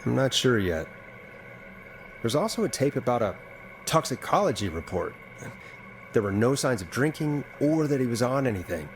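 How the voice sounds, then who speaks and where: A man's voice: mid-range voice, very animated, normal speed, long pauses, slight background noise, poor audio. A man playing a thoughtful, puzzled radio host turned investigator in a video game, conversational and musing. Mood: puzzled, investigative.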